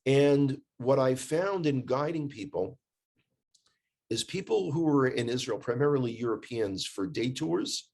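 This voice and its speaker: A man's voice: mid-range voice, expressive, measured pace, darkish tone. American guy just talking, telling stories, really leans on phrases and repeats them. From United States. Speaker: guest, tour guide living in Israel. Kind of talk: casual conversational storytelling.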